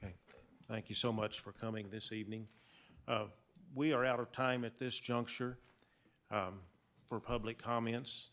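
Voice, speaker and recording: A man chairing a county meeting, brisk and politely procedural. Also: mid-range voice, fairly even intonation, a bit bright.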